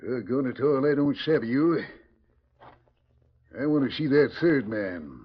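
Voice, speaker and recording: An actor playing a blustery, suspicious frontier sheriff with a rough voice and in 1940s radio style. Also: male voice, medium pitch, expressive, unhurried. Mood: indignant. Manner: blustering, folksy. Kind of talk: 1940s radio western acting. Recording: muffled vintage 1940s radio recording.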